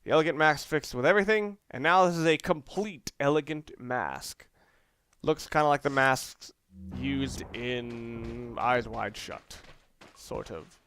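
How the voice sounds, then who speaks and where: A man's voice: mid-range voice, a little slow, fairly bright tone, smooth, soft background music. A young man giving casual, rambling commentary over a video game, correcting himself and swearing when caught off guard. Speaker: let's play commentator. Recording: game sounds and noise behind voice.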